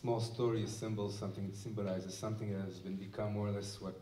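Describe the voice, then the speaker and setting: A man's voice: fairly low pitch, level tone, quick pace, dark tone. Man speaking from afar in an echoey hall. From Israel. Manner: thoughtful, searching for words with many restarts. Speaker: musician and lecturer. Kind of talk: onstage talk to an audience. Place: museum event space with audience. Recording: echoey room heard from a distance.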